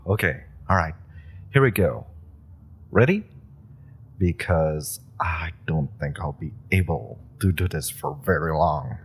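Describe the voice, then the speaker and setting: A man's voice: extremely low pitch, expressive, takes it slowly, slightly rough, full character voice. A man voicing an eager young superpowered rookie in an audio roleplay drama, rambling and giggling as he stumbles over his words. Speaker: voice actor.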